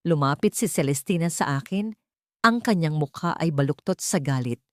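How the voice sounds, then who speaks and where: A Filipino woman narrates a dramatic audio story in the first person, her voice sitting low. The recording is dry and close, with no room around it. She pushes her pitch and volume up when she voices other people's lines. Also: lively intonation, normal speed, darkish tone, no room sound. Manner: rises in pitch and volume for quoted dialogue.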